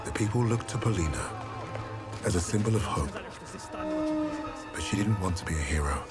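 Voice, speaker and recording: A man playing a grave war narrator, giving solemn, measured, dramatic scripted narration for a video game cutscene. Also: low voice, expressive. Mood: grave, admiring. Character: grave male war narrator telling a legend. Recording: loud music under narration.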